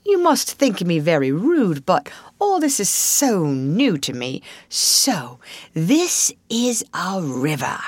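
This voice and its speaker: Timid storybook mole , played by a woman: curious, courteous newcomer, questioning delivery, animated pitch, close dry sound. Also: slow, husky, dry recording, full character voice. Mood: flustered, awed. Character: shy, polite, curious male mole, wide-eyed newcomer. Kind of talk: storybook character reading.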